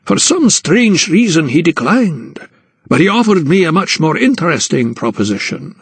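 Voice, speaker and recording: A cold, impatient Scottish anatomist of mature years performed by a man reading a storybook. He speaks in a raised, snapping pitch with sardonic scorn. The sound is close and dry. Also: sing-song, moderate pace, husky, no room sound, exaggerated voice. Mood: mocking.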